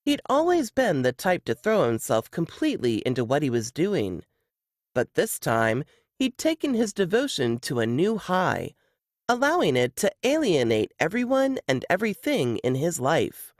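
A woman narrating a novel excerpt in an expressive audiobook style. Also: sits low, moderate pace, dry recording, clean studio sound.

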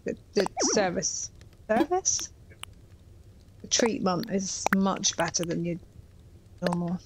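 A woman's voice: fairly low pitch, slow pace, noisy background. Chatty Welsh-border woman gaming live. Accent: Welsh-border English. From England (Herefordshire). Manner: chatty, rambling, reads chat aloud. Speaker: streamer. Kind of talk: casual live-stream chat while gaming. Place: small room indoors. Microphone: headset.

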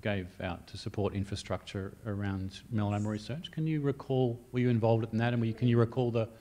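A man's voice: lowish voice, steady tone, fast, warm tone, a little hiss or hum. A man putting an informal question in a panel discussion, restarting it several times.

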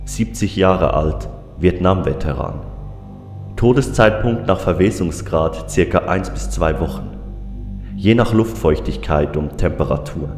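An actor playing a weary, troubled coroner in a German audio drama, speaking in a deliberate, brooding, clinical way. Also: male voice, low-pitched, darkish tone. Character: weary, troubled male small-town coroner. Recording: echoey hall reverb, music behind.

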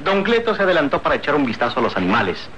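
A man's voice: fairly high pitch, very animated, very fast, fairly bright tone, muffled recording, slight background noise. A man narrating a radio serial in a formal, declamatory and dramatic style.

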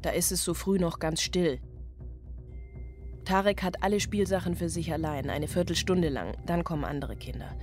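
A woman's voice: on the low side, normal speed, a little mellow, soft background music, natural voice. An actress playing a stressed, driven single mother in a radio drama, speaking in clipped, drilled phrases. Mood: matter-of-fact, tense. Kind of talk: radio drama monologue and dialogue.

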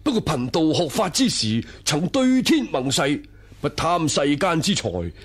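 A man playing a solemn, high-minded Taoist priest in a radio drama, with grave, stately theatrical delivery. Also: very animated, a little slow, breathy edge, heavily acted. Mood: lofty, solemn. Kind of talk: Cantonese radio drama acting.

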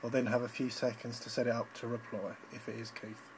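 A man's voice: mid-range voice, little pitch movement, a little fast, noisy background. A man giving an informal, slightly hesitant live demonstration as an amateur radio operator, recorded on a handheld phone indoors. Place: indoors at a radio station desk.

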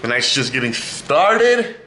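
A man's voice: mid-range voice, sing-song, takes it slowly, bright, forceful tone, a little hiss or hum, rough recording. A young man in his twenties chatting playfully to his handheld camera in a small apartment. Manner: playful, teasing, loose and jokey. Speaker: vlogger. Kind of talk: casual home vlog chat. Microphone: handheld camera at arm's length.

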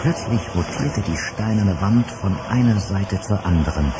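Deep male narrator for an adventure radio play, measured. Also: heavy background noise. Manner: measured storytelling. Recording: loud music behind.

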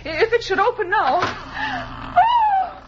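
Anguished widow, slow and melodramatic. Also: female voice, extremely high pitch, huge pitch swings, full character voice. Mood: terrified, hysterical. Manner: theatrical, overwrought, drawn-out. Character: anguished widowed murderess at a seance. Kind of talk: 1940s radio melodrama acting. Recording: muffled, hissy 1940s radio recording.

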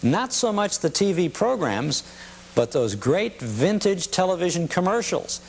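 A man's voice: sits high, sing-song, measured pace, slightly rough. A man hosting a show, giving a scripted introduction. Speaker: host.

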